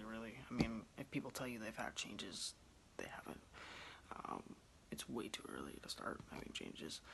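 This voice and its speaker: A man's voice: medium pitch, gravelly, a little hiss or hum, low-quality recording. A man in his twenties chatting casually to his phone camera in a small room at home, rambling with lots of ums. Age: 20s. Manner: relaxed, rambling, many ums. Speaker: vlogger. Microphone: phone camera close up.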